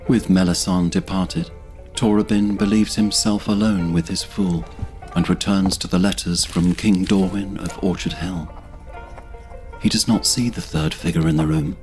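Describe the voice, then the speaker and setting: A man narrating a scripted fantasy audio drama in a measured, descriptive storytelling manner. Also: deep voice, darkish tone.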